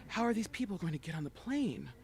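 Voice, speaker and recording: A man reading the lines of a cheerful, naive young American husband with a Midwestern accent, in a low, quiet voice. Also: big pitch swings, fast talker, gravelly, heavily acted. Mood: amazed.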